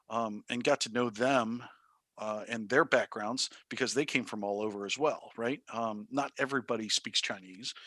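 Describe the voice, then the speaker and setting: A man's voice: lowish voice, pushed and bright, no echo. Male teacher in his thirties, from Taiwan, thoughtful and hesitant, dry close recording. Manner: thoughtful, many ums and uhs, restarts. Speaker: high school math teacher, interview guest. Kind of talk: casual interview answers.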